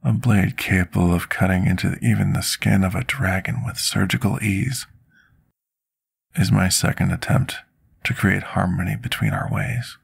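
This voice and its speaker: A man playing a scarred, remorseful potion master in an intimate ASMR roleplay, speaking formally and deliberately like someone confessing his past. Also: deep voice, animated, gravelly, breathy edge, no room sound, exaggerated voice. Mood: earnest, reflective. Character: scarred male potion master, devoted, remorseful, soft-spoken servant.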